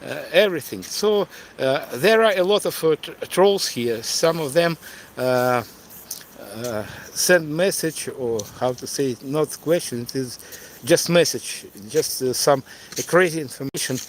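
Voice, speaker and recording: Slow, halting middle-aged man with Ukrainian accent. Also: fairly high pitch, a little hiss or hum. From Donetsk, eastern Ukraine. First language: Russian. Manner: halting, hesitant, searching for words. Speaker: live streamer and tour guide. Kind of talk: unscripted livestream monologue answering chat.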